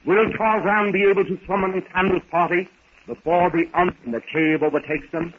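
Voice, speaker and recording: A man delivers dramatic scripted narration in the manner of a 1930s radio adventure serial. His voice sits a little high. The sound is tinny and narrow, with faint hiss over it. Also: steady tone, normal speed.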